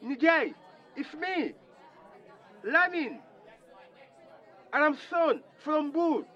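A man playing an earnest young migrant son in an audio drama, pleading and halting over a phone line. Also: very high voice, expressive, a faint crowd, full character voice. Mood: anxious, hopeful. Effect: phone line filter. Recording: thin bad phone line.

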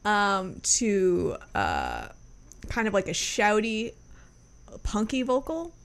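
Woman host, gravelly fry, casual music review, swears freely. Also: medium pitch, slow. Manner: relaxed, swears casually, many fillers.

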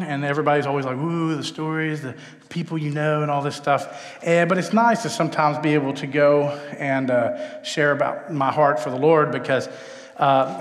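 A middle-aged Texan man gives an informal talk in a reverberant chapel. His voice sits a little high for a man, and he speaks in a casual, conversational, self-deprecating way. The hall adds a long echo to his words. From Texas, United States. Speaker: guest speaker at a chapel, filmmaker. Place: large indoor chapel, live talk.

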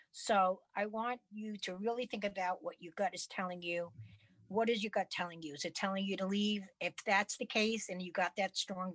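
Relaxed woman co-host, agreeable and firm with advice, mid pitch, dry recording. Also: no echo. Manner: easygoing, agreeable, emphatic when advising. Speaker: podcast co-host. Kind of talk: casual podcast chat.

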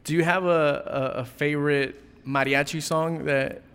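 Guy hosting a chill interview, kinda high voice, halting and repeats words, lots of hall reverb. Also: steady tone, fairly bright tone, smooth. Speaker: interview host. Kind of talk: casual chat interview.

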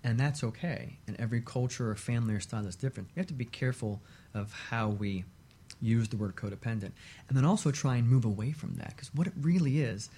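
A man speaking conversationally as a therapist guest on a talk show, with measured, reflective phrasing. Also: mid-range voice, mellow, slightly rough. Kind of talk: conversational discussion of relationships.

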